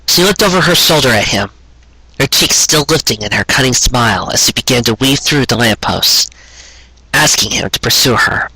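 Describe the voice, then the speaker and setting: A man narrates a romantic tale with earnest drama. His voice is bright and forward with a husky grain, recorded dry and close. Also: medium pitch, animated, normal speed, dry recording, rough recording. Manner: earnest, dramatic storytelling delivery. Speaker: storyteller reading fan fiction aloud. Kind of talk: scripted narration of a sentimental romance story.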